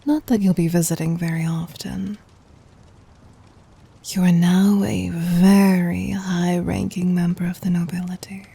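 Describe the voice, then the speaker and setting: Woman playing a slow, breathy, whispering demon queen. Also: fairly low pitch, flat delivery, mellow, exaggerated voice. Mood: regal, doting, composed. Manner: slow, formal, commanding yet tender. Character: regal, adoring, scheming demon queen, gently dominant. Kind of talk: intimate ASMR roleplay.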